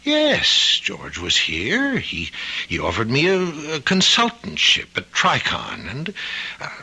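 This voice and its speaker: A man's voice: medium pitch, huge pitch swings, slow, vocal fry, pushed and bright, breathy edge, full character voice. A male actor playing a frail, forgetful old professor who stammers and hesitates, in an old-time radio drama. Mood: flustered, pleading. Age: older.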